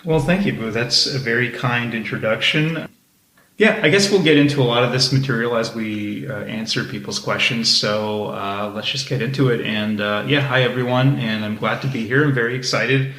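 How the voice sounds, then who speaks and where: A man in his thirties, a podcast guest, speaking in a calm, monotone way. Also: medium pitch, normal speed. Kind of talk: casual podcast chat. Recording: echoey room.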